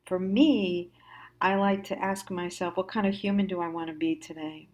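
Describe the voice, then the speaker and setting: An American woman giving a reflective monologue as a host, musing haltingly and stressing key words. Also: lowish voice, fairly even intonation, a little mellow. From United States. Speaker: host of a personal storytime show.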